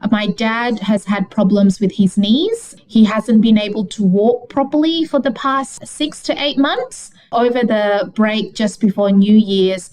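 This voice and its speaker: Chatty woman from Indonesia, mid-pitched. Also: a little mellow, low-quality recording. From Jakarta, Indonesia. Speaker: economist guest. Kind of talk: casual interview chat.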